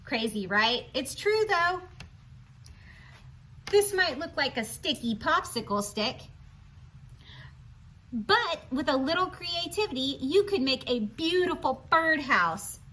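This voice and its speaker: High-pitched, animated woman reading to children. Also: slow pace, long pauses. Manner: animated, questioning, encouraging, addresses listeners directly. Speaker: children's read-aloud presenter. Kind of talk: storybook read-aloud with asides to young children.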